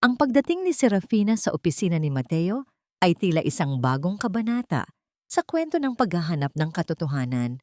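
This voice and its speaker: A woman's voice: lowish voice, sing-song, brisk, darkish tone, no room sound, clean studio sound. A woman reading a scripted dramatic audio story as its narrator.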